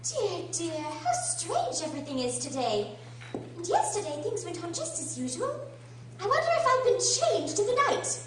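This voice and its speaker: A woman's voice: very high-pitched, big pitch swings, moderate pace. Radio drama role: curious, fretful little girl, played by a woman, theatrical and exclamatory, heard very distant in a heavily echoing room. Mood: bewildered. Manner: exclamatory, theatrical, thinking aloud. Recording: roomy, distant, faint noise and hum.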